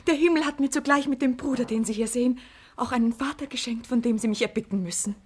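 An actress playing an earnest, gentle young woman in a classic radio play, breathy and full of feeling. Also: a little high, quick pace. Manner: earnest, emotional. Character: earnest, gentle young long-lost daughter.

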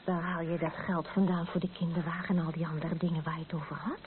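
An actress playing a loving, practical wife in a Dutch radio play, affectionate and emotional. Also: female voice, lowish voice, little pitch movement, fast, lightly acted. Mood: curious, fond. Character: loving, practical wife, a hospital worker expecting a baby. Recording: noisy, tinny old radio recording.

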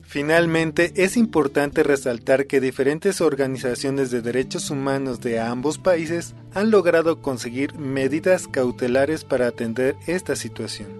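A man reading a scripted radio news report in a measured, formal way. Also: medium pitch, faint music behind.

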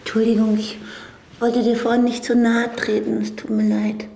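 An actress playing a young woman doctor in a radio drama, a hesitant, softly insistent admirer who quietly yearns. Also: on the high side, little pitch movement, normal speed, vocal fry, echoey room, poor audio. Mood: apologetic. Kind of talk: naturalistic radio drama acting.

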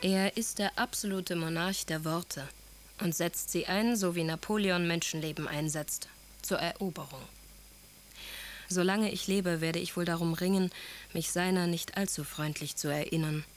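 Actress as a low-voiced, wounded estranged wife. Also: female voice, steady tone, normal speed, over music, lightly acted. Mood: wistful, resentful. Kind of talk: scripted monologue in radio drama.

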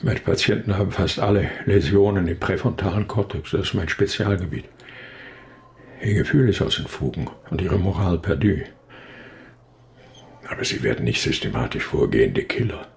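An actor playing an injured, grieving male professor in a radio crime drama, talking haltingly but insistently in a weakened voice. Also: deep voice, expressive, gravelly. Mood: stubborn, lecturing. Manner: halting, hesitant, yet insistent. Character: injured male brain research professor, bereaved, weary, stubbornly convinced.

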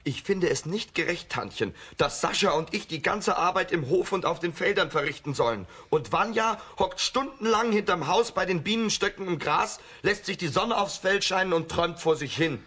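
Indignant, high-voiced young farm brother. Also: male voice, animated, moderate pace, few pauses, pushed and bright, slightly rough, heavily acted. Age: young adult. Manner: complaining. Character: hard-working elder peasant brother, indignant and resentful. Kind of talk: children's radio play acting.

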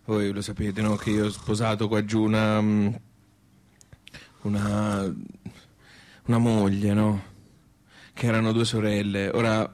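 An actor playing a plain-spoken, grumbling old husband with a Tuscan accent, talking haltingly and seeking agreement with little tag questions. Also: male voice, lowish voice, completely flat delivery, a little mellow, natural voice. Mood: weary, aggrieved.

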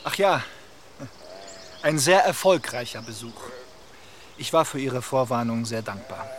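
An actor playing a charming, talkative young gentleman in a radio play, lively and gossipy. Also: mid-range voice, background noise, close to an everyday voice.

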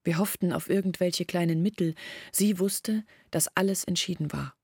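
A village woman from an outcast family in a literary radio play. Her voice is low and husky, recorded close and dry, and she tells of shame in measured, thoughtful sentences. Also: darkish tone, no room sound. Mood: grave, reflective. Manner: measured, reflective, articulate storytelling. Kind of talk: literary radio drama monologue.

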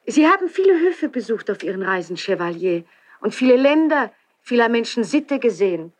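Würdevolle Königin mit hellerer Stimmlage. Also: female voice, fairly high pitch, big pitch swings, normal speed, darkish tone. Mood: gracious. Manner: stately, formal courtly speech. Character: gracious, self-possessed queen receiving a nobleman. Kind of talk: classical German verse radio drama acting.

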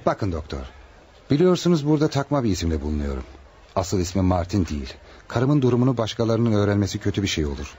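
A man's voice: on the low side, very animated, fast, a little mellow. An actor in a radio drama playing a worried, secretive male patient, earnest and polite. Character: worried husband under a false name, secretive patient. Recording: slightly noisy radio drama recording.

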